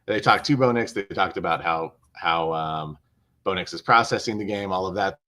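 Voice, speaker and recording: A man with a fairly low voice gives casual sports analysis as a co-host on a video call. He is measured and explanatory, restating phrases to press his point. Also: bright, forceful tone.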